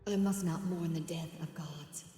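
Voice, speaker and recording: A woman playing a solemn, resolute celestial goddess in a video game, speaking in grave, measured proclamations with echoing reverb. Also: deep voice, steady tone, warm tone, close to an everyday voice. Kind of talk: scripted game voice lines. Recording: echoey long reverb over faint music.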